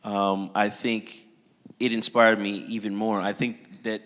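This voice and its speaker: A man's voice: on the low side, flat delivery, a little slow, a bit bright, big-room sound. A man speaking on a community panel, reflective and hesitant, often restarting his sentences. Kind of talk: panel discussion.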